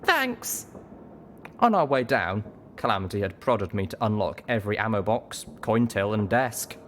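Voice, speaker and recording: A man reading a story aloud as expressive, scripted first-person narration. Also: fairly low pitch, normal speed, a little hiss or hum. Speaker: audiobook reader.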